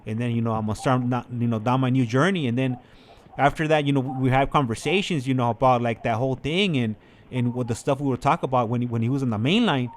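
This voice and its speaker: A man's voice: mid-range voice, fast, a little hiss or hum. Rambling American man telling stories casually. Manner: rambling, repetitive, peppered with "like" and "you know". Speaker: online channel host.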